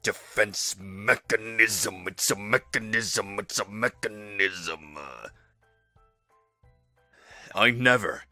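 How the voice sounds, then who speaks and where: A man with a lowish, sharp-edged voice stumbles through retakes, exasperated with himself. He speaks slowly in a dry recording with music behind. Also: no echo. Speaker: amateur voice actor. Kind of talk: retaking a line, outtake muttering.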